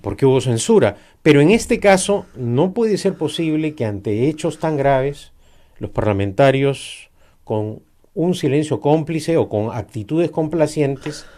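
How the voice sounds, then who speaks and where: A man's voice: a little high, animated, measured pace, warm tone. A middle-aged Peruvian man being interviewed about politics, arguing emphatically with frequent hesitations. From Peru. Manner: emphatic, argumentative, many hesitations. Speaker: political guest.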